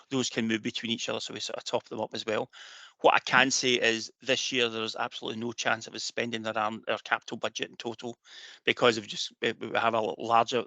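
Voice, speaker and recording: A man's voice: medium pitch, pushed and bright, no room sound. A man answering webinar questions, stammering and restarting his sentences. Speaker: agency presenter. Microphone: video call.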